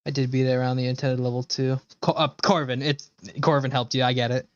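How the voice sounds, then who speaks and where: A man's voice: mid-range voice, level tone, fast talker, highs cut off. A man casually chatting with viewers as a game livestreamer, rambling and swearing freely. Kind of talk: casual chat with viewers while playing.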